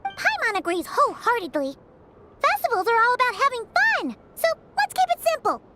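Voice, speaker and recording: A woman voicing a tiny childlike fairy companion in a video game, squeaky, bossy and exclamatory. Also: huge pitch swings, normal speed, very noisy, heavily acted. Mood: cheerful. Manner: exclamatory, refers to self in third person. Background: loud game music.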